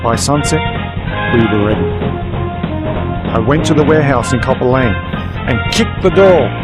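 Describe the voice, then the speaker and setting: A man's voice: mid-range voice, lively intonation, measured pace, natural voice. A man playing a tough, sardonic werewolf hero, narrating a radio play in a wry, gritty hardboiled style. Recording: radio play under very loud music.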